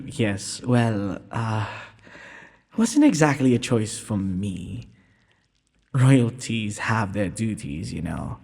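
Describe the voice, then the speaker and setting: Man playing a breathy, courteous young prince, long reverb. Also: medium pitch, dark tone, exaggerated voice. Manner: formal, polite, breathy speech with hesitations. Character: gentle, courteous young underground prince, nervous, earnest suitor. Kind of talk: romantic audio roleplay acting.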